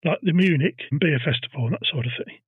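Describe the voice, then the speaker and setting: A man's voice: a little high, expressive, fast talker, slightly rough, no room sound, phone-quality sound. A man hosting a casual history podcast, telling a story and teasing his co-host.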